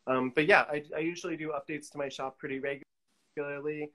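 A man's voice: fairly high pitch, steady tone, fast talker, sharp tone. A middle-aged man chatting casually as a guest on a video call. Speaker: guest artist. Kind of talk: casual chat. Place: indoor room at home, on a video call.

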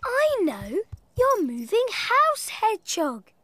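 An actor voicing a cheerful, curious, kind young cat in a preschool cartoon, with a bright, wondering, encouraging manner. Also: very high voice, wildly swooping pitch, slow, full character voice. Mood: helpful, thoughtful. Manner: bright, wondering, gently encouraging, speaks to small children. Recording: music behind the voice.

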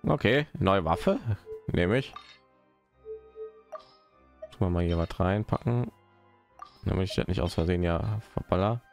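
Male streamer, very low creaky voice, casual rambling play-by-play, muttering to himself over faint music. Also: expressive, unhurried, pauses often. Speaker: live streamer. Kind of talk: casual gaming stream commentary.

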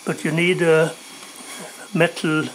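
A man in his forties chatting on camera in a small wooden mountain shelter, speaking haltingly with many pauses and restarts. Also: fairly high pitch, flat delivery, slight background noise, low-quality recording. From Sweden. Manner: hesitant, many uhs and restarts, non-native English. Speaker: hiking vlogger interviewing a fellow hiker. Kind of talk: casual on-camera chat.

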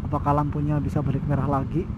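A man's voice: fairly high pitch, completely flat delivery, very fast, lo-fi sound. A young man chatting casually and slangily in a motovlog, raising his voice as he rides. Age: young adult. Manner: slangy, raises voice over traffic. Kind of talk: casual riding vlog chat. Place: outdoors, riding a motorbike on city streets. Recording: loud motorcycle engine and traffic noise.

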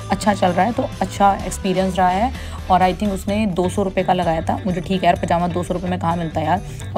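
A woman in her thirties chatting casually to her phone camera as a vlogger, first at home and then in a street market, mixing English words into her talk. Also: on the low side. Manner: chatty, mixes English into Hindi, says "yaar" often. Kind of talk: casual vlog chat. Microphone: phone held in hand.

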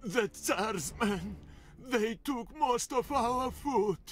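Desperate, high-pitched villager. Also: male voice, lively intonation, drawn-out words, faint music behind, exaggerated voice. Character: desperate, frightened male villager pleading for help. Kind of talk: video game cutscene acting.

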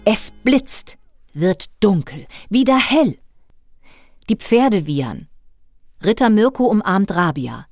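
A woman narrating a scripted children's audio play in a dramatic, expressive storybook manner. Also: mid-range voice, slow, long pauses, no echo, clean studio sound, faint music behind.

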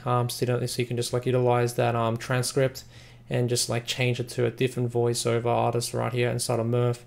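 A man hosting a casual software tutorial, explaining in a rambling, repetitive way. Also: medium pitch, monotone, fairly quick, vocal fry. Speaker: software reviewer, tutorial host. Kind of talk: casual screen-recording tutorial narration.

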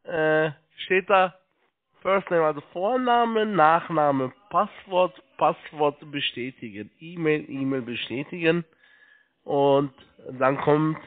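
A man working as a phone agent, giving halting, repetitive step-by-step instructions over a narrow phone line. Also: a little high.

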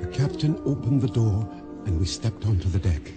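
A man playing an earnest island sailor, recounting his ordeal at sea in measured, formal phrases as radio drama narration. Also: fairly low pitch, lively intonation, over loud music, lightly acted. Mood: grave, reflective.

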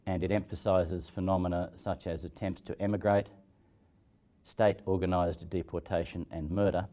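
Older male lecturer, even intonation and soft dark tone, reading formal material precisely and seriously. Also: deep voice, moderate pace. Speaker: translator. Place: indoors, dark backdrop.

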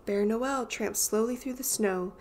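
A woman reading a children's picture book aloud as an expressive storyteller. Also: on the high side, unhurried, a little hiss or hum.

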